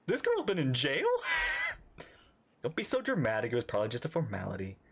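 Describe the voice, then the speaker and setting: A woman's voice: extremely low pitch, wildly swooping pitch, normal speed, breathy edge. A woman streamer giving casual live game reaction commentary, chatty and thinking aloud.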